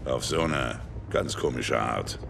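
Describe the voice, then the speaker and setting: An actor playing a big, boisterous and affectionate veteran soldier in a video game, hearty and playful. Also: male voice, rumbling low, takes it slowly, creaky, music in the background, exaggerated voice. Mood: warm, playful.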